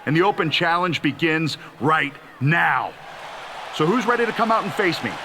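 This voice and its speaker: A man's voice: a little high, expressive, normal speed, a crowd in the background, background noise. A middle-aged man playing a proud, determined veteran champion wrestler in a video game, speaking in an earnest promo style. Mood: defiant. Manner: earnest, forceful.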